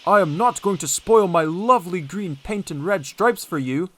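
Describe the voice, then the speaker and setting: Guy doing a stuck-up stubborn train engine, petulant, fussy, highish storybook voice. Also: lively intonation, normal speed, a bit bright. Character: vain, stubborn, rude male steam engine.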